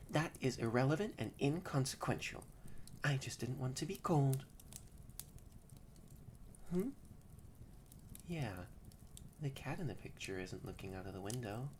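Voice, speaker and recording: A young adult performer voicing a haughty, grumpy yet secretly shy catboy in an intimate roleplay audio drama, stammering and often slipping into breathy near-whispers. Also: mid-range voice, darkish tone, a little hiss or hum. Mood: indignant.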